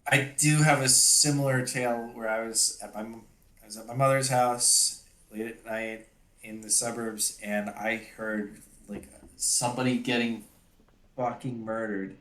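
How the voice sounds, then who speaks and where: A man's voice: mid-range voice, fairly even intonation, unhurried, fairly bright tone. A man talking casually, adding short anecdotes and remarks to a group conversation. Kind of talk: casual chat. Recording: roomy, echoey sound.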